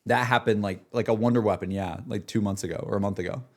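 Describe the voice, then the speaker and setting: A man's voice: fairly low pitch, fairly even intonation, quick pace, darkish tone. Enthusiastic young man rambling on stream. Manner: enthusiastic, self-deprecating. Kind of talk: casual livestream chat, rambling gaming talk.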